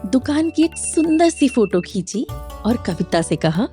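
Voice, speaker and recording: A woman's voice: on the high side, big pitch swings, a little slow, dark tone, soft background music. A woman narrating a scripted moral story for children.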